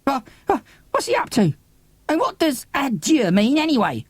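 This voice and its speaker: Little boy from a kids' audiobook, really high, pleading and anguished, swoops about. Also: wildly swooping pitch, moderate pace, no echo, heavily acted. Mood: bewildered, upset. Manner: breathless, pleading, childlike exclamations. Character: anguished, pleading young boy, Milo, abandoned by his dad. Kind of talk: dramatised children's audiobook.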